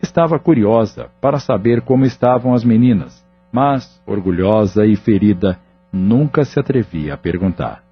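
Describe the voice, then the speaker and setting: Brief: male narrator, low husky voice, steady measured storytelling, dull lo-fi audio with faint music underneath. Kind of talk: scripted audio novel narration.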